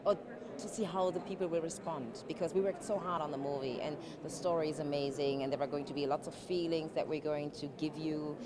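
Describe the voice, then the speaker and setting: A woman's voice: low voice, steady tone, fairly quick, a crowd in the background. A woman answering press questions as a film actress. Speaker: film actress being interviewed. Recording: very noisy press area, traffic-like din.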